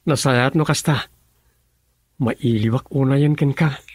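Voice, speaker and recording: A man's voice: mid-range voice, unhurried, a little mellow, husky, no echo. A man acting a lovelorn, earnest young sweetheart in a radio drama. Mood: longing.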